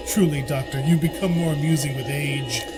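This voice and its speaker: A haughty, sardonic sci-fi villain acted by a man. He taunts in a theatrical radio-drama manner, with loud music swelling beneath the voice. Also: on the high side, measured pace, lightly acted. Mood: mocking. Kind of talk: audio drama acting.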